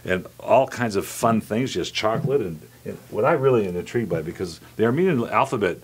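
A man's voice: lowish voice, expressive, a little fast, slightly rough. A man in his fifties hosting a wine tasting interview in a studio set like a wine shop, chatty and addressing viewers as folks. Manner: chatty, talks to viewers as folks, trails off and restarts. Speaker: wine club owner and host.

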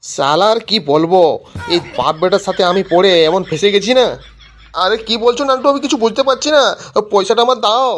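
A man's voice: high voice, fairly quick, close to an everyday voice. Cartoon village shopkeeper played by a man: grumbling, tight with money, scolding in repeated, exasperated bursts. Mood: exasperated, demanding. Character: grumbling, money-minded village sweet-shop keeper. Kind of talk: amateur cartoon comedy voice acting.

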